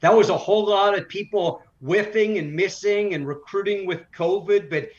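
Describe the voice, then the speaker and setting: A man's voice: sits high, moderate pace. A man giving conversational sports analysis as an interview guest, a broadcaster who makes his points emphatically in runs. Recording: slightly dull, lo-fi audio.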